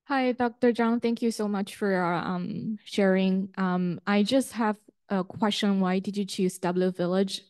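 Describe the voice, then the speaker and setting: A woman's voice: mid-range voice, steady tone, a little slow, darkish tone, clear, resonant tone, no room sound, clean studio sound. A woman in an audience politely asking an academic question.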